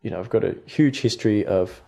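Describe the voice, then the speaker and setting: A man's voice: on the low side, brisk, vocal fry, mellow. A man with an Australian accent, a guest artist in a reflective podcast conversation, talking hesitantly with many fillers and restarts.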